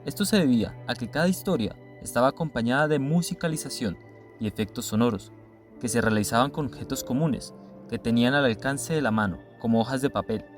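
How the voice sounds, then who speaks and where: A man's voice: medium pitch, a little fast, faint music behind. A man reading a scripted documentary narration.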